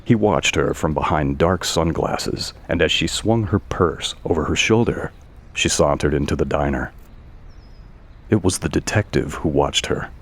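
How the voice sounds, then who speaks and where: A man's voice: extremely low pitch, measured pace, breathy edge. A man narrating a scripted audio story.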